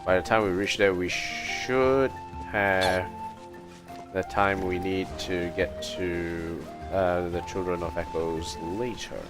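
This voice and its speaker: Male game streamer, low register, leisurely delivery, casual think-aloud commentary. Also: fairly even intonation, takes it slowly, over music. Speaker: livestreamer. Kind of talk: casual gaming livestream commentary.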